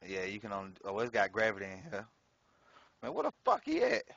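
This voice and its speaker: A man's voice: on the low side, huge pitch swings, brisk, vocal fry, a bit bright, dry recording, dull audio. A man casually commenting on his gameplay in a slangy, profane way, laughing often. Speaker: gameplay streamer.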